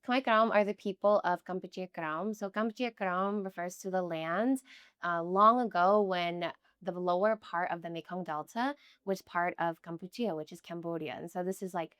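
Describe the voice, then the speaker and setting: Young woman, smooth low-pitched voice. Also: moderate pace, a bit bright, no room sound, studio quality. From United States. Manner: chatty, many "like" fillers, earnest. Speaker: guest. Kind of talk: casual conversational interview.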